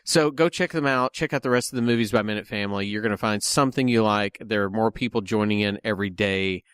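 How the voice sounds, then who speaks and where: A man with a fairly low voice co-hosts a casual film podcast. He has the tail end of a head cold and talks in a joking, teasing way. The sound is dry, with little room in it. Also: fast, fairly bright tone, smooth, no echo. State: getting over a head cold. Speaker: podcast co-host. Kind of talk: casual chat about a film.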